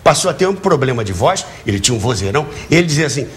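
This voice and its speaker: An older man with a Brazilian accent, reminiscing as an interview guest in an animated, emphatic way. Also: mid-range voice, racing. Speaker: talk show guest, radio and TV educator.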